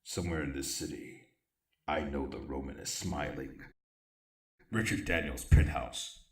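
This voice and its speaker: A man's voice: very deep voice, unhurried. A man playing a grim, driven vigilante crime-fighter in an audio drama, brooding and solemn in terse lines. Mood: solemn, resolute. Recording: roomy echo, distant, clear background noise.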